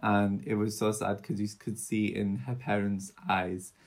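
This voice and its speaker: Young female podcast co-host, very deep soothing voice, chatty and searching for words. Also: little pitch movement, unhurried, darkish tone. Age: young adult. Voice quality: low, soothing voice. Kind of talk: casual true-crime podcast chat.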